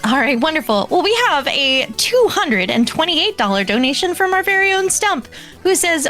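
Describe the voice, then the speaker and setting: Female event host, fairly high voice, effusive and polite message reader, light music underneath. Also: fairly bright tone. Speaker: event host reading donations. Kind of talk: live event hosting, reading messages aloud.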